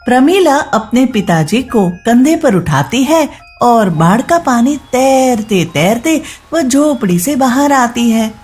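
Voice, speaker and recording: A woman narrating a scripted moral story as storyteller, in an expressive, deliberate manner. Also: on the high side, faint music behind.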